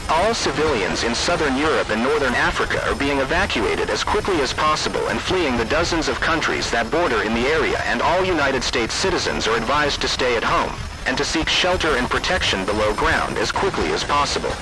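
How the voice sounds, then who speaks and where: A man's voice: mid-range voice, animated, low-quality recording. Man reading a formal emergency broadcast. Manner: formal, deliberate announcing. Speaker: emergency alert announcer. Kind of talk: scripted emergency broadcast narration.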